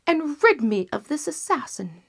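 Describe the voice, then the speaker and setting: A woman voicing an angry, gullible old king who speaks loudly and draws out his commands. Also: a little high, huge pitch swings, slow pace, pitched above the performer's own voice, exaggerated voice. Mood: wrathful.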